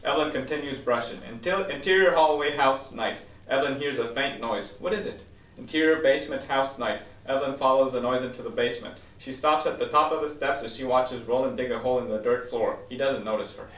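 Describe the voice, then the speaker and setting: A man's voice: medium pitch, a little fast, a bit bright. A man reading screenplay stage directions aloud as scripted narration at a table read. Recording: roomy echo, distant microphone.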